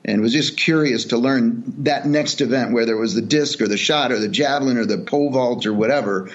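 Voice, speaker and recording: A man's voice: medium pitch, a little fast, dull audio. A middle-aged man from El Salvador, a guest telling his story in an earnest, reflective way with many fillers and restarts. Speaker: podcast guest, charity executive. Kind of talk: relaxed storytelling interview.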